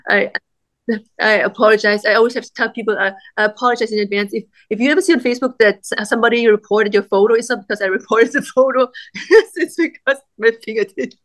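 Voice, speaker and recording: A woman in her forties with a German accent, talking as an interview guest with halting, restarting speech and frequent laughs, her speech affected by illness. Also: a little high, steady tone, a bit bright. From Austria. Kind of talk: casual interview conversation.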